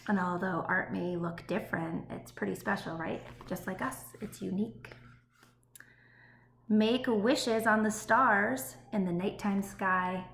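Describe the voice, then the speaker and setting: A woman's voice: medium pitch, measured pace, vocal fry, fairly bright tone. A woman speaking as an elementary school teacher to young pupils, encouraging and child-directed, checking in with little questions as she reads aloud and chats. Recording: roomy echo, faint background noise.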